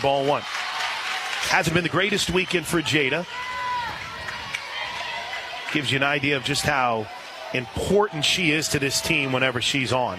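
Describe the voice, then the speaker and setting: A man doing live radio play-by-play of a softball game, excitable on big plays. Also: fairly high pitch, background noise.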